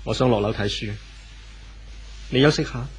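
A forgiving, well-to-do husband played by a man speaks gently in a Hong Kong Cantonese accent, at a middling pitch. It is 1970s radio drama acting on an old recording with faint noise. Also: moderate pace, close to an everyday voice, low-quality recording. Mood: sad, restrained. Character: wealthy, forgiving husband parting gently from his wife.

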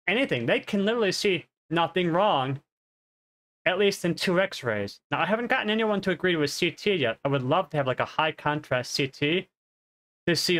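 A man's voice: on the high side, fairly bright tone. A man in his thirties giving a rambling, casual update on a headset, his voice nasal and congested. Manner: rambling, self-correcting. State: congested, coughing. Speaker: streamer. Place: home streaming room.

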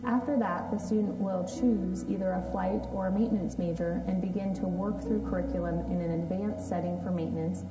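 Woman, staff member, explanatory, slightly low voice, far from mic, loud background music. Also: fairly even intonation, a little fast, few pauses. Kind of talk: documentary interview. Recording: roomy, distant, under loud music.

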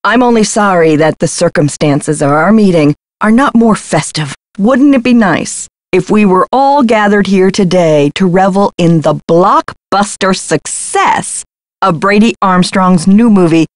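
A woman's voice: on the low side, measured pace, dry recording, clean studio sound, natural voice. An actress playing a slick, self-important female spokeswoman in a video game, with a grandiose, theatrical manner. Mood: grandiose, solemn.

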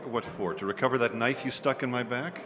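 A man's voice: medium pitch, fairly quick, crowd noise, close to an everyday voice. A man playing a cynical, wry screenwriter in old-time radio drama style, sardonic in delivery. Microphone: stand microphone on stage. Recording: live theater stage, long reverb.

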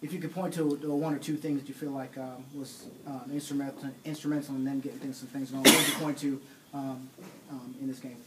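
A man working as a reporter, asking halting questions at a press conference. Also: medium pitch, little pitch movement. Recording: noisy room recording with clicks.

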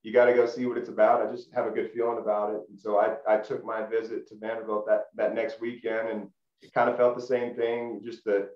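A man, a guest telling his story in a relaxed way with many restarts over a video call. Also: lowish voice, fairly quick. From Middle Tennessee. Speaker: guest, former college football player. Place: small room at home, indoors. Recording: roomy, dull video call audio.

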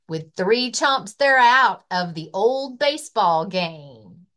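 A woman reads a children's picture book aloud in a story-time style. Her pitch swings in a lively way across the rhymes, and she takes the verse at an unhurried, rhythmic pace. Her voice sits in a middle register for a woman. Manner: expressive, rhythmic reading of rhyming verse. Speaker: librarian and story-time reader.

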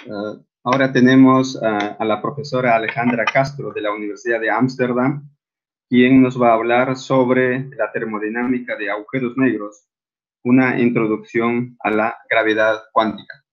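A man's voice: medium pitch, level tone, moderate pace. A man hosting an online lecture series, introducing a speaker hesitantly with many fillers. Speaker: session host. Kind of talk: formal introduction. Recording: dull lo-fi video call audio.

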